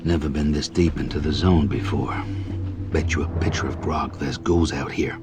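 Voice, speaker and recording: An actor voicing a gruff, stoic mutant boar man, blunt and terse, in a video game. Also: steady tone, normal speed. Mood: grim, gruff. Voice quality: very deep, gruff. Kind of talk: video game character dialogue. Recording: voice under game music.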